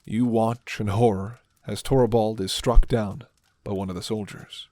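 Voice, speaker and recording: Slow-paced man narrating a story. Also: lowish voice, fairly even intonation, a little mellow, dry recording. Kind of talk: second-person scripted ASMR story narration. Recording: music behind the voice.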